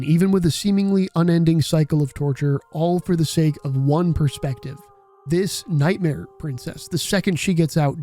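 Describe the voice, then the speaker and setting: Mellow-voiced man narrating a video essay. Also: on the high side. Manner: casual, reflective and occasionally profane.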